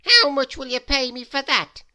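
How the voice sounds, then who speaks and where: A woman voicing a stingy, grumpy man in a storybook, snapping and shouting. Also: high-pitched, very animated, moderate pace, pitched above the performer's own voice, exaggerated voice. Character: stingy grumpy male Mister Mean.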